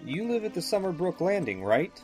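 A man's voice: high voice, very animated, a little fast, darkish tone, soft background music. A young man playing a polite, persistent, watchful classmate in an amateur radio drama, speaking somewhat formally. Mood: helpful, persistent.

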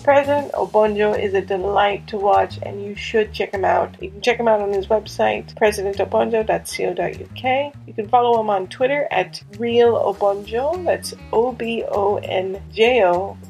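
Creaky-voiced woman hosting a podcast. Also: mid-range voice, normal speed, hardly any pauses, a little mellow, faint music behind, poor audio. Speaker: podcast host. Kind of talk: scripted podcast narration and interviewing.

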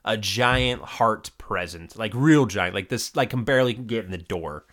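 A man's voice: fairly low pitch, lively intonation, normal speed, a bit bright. A man co-hosting a podcast, retelling sitcom scenes in a rambling, casual way with lots of fillers. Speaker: podcast co-host recapping a sitcom episode. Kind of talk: casual chat.

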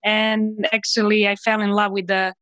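A woman's voice: medium pitch, flat delivery, normal speed, fairly bright tone, dry recording. A woman from Serbia, a guest on a business podcast, telling her story candidly and laughing easily. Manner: candid, laughing as she talks. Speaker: guest entrepreneur. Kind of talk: casual interview answers.

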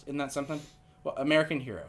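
A man with a nasal voice hosting a casual comedy podcast, telling absurd stories deadpan with lots of fillers into a podcast mic. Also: fairly high pitch, very fast. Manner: rambling, deadpan absurd storytelling with many fillers. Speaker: podcast host. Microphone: podcast microphone.

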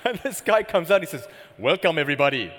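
Canadian guy pastor, sermon w/ jokes, slightly high voice, echoey hall. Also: big pitch swings, fast talker, background noise. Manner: chatty, jokey, asks the crowd questions. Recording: hall reverb.

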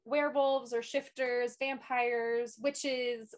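A woman's voice: a little high, little pitch movement, slow pace. A woman co-hosting a book podcast, asking questions in a casual, giggly way with lots of fillers. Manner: warm, giggly, hesitant fillers. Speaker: podcast co-host.

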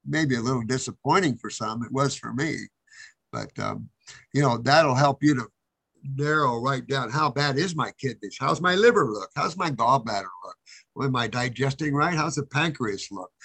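A man's voice: mid-range voice, creaky, pushed and bright, slightly rough. A man hosting a health call-in show, giving rambling, folksy advice to callers. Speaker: host answering callers.

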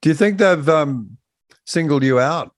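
A man's voice: on the high side, measured pace, no echo. A man hosting a conversational radio interview.

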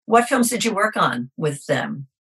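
Woman, interview host, remote call audio, husky, lowish pitch, measured. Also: very animated, fairly bright tone. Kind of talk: remote interview, scripted intro.

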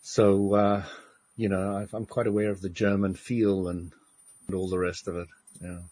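A man co-hosting a casual talk show, asking probing questions with halting restarts. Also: low voice, little pitch movement, dark tone, slightly rough.

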